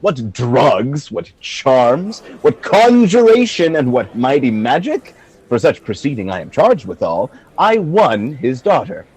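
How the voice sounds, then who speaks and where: A man playing a valiant, dignified general in a Shakespearean radio play, speaking in measured, earnest oratory. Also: mid-range voice, very animated, a little mellow, slightly rough, heavily acted. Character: valiant, dignified male general, frank and composed. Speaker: amateur actor in an online radio play. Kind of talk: Shakespearean verse acting for radio.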